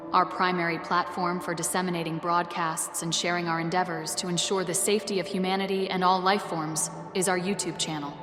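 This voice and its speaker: A woman's voice: on the low side, close to an everyday voice. Woman as a solemn otherworldly guardian, heavily reverberant. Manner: formal, grandiose, measured. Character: solemn, benevolent female otherworldly guardian messenger. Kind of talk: scripted solemn proclamation. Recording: echoey, long reverb, faint music behind.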